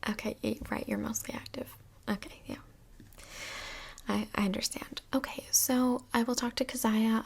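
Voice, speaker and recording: A woman playing a kindly, tactful couples' counselor in a soft-spoken ASMR role play, whispery, breathy and gently reassuring, with some hesitation. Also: a little high, heavily acted.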